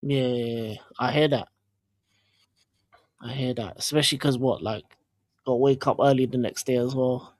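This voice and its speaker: A man's voice: medium pitch, fairly even intonation, moderate pace, pauses often, no echo. A man chatting casually as a guest on a football stream, mostly giving short, agreeing replies. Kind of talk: casual chat.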